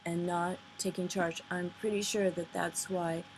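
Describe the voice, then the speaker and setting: A woman giving an informal, earnest spoken commentary, pausing between phrases and sometimes reading aloud, on a noisy amateur recording. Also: lowish voice, level tone. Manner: earnest, halting delivery with pauses between phrases. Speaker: vlogger. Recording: noisy amateur recording, poor sound quality.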